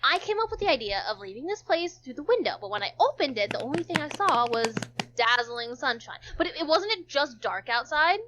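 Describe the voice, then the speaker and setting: A woman with a high, very animated voice reads a visual novel aloud. She moves between narration and casual joking asides, tripping on the odd word. The sound is slightly dull and lo-fi. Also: fairly quick, noisy background.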